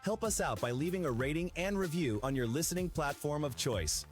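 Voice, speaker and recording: An upbeat male announcer reading a scripted sign-off with a fairly high, lively pitch that swings freely. Faint music plays under his voice. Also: fairly quick. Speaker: podcast announcer. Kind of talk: scripted outro read.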